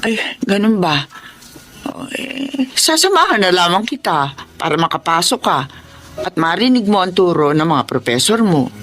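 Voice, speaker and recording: Animated, caring old Filipina grandmother. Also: fairly low pitch, normal speed. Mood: concerned. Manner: soothing, expressive, coaxing. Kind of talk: Filipino radio drama acting.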